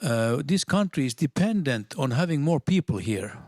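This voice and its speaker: Native Swedish speaker, a man, audience comment in English; earnest, halting delivery, close dry sound. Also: mid-range voice, big pitch swings, a little mellow, no room sound. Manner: halting, many restarts, earnest and persuasive. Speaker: audience member at a seminar. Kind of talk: spontaneous public comment.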